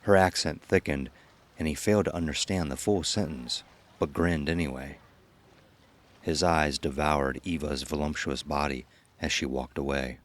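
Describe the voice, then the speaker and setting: A man's voice: extremely low pitch, normal speed. A man narrating a scripted horror story as an ominous storyteller.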